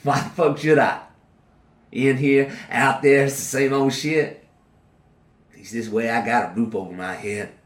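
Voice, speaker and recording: An actor playing a defiant convict serving life, talking in coarse drawling slang with a sneer. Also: male voice, fairly low pitch, fairly even intonation, fast, hardly any pauses, fairly bright tone, close to an everyday voice. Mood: weary. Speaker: prison inmate. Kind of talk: dramatic dialogue acting. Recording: roomy echo.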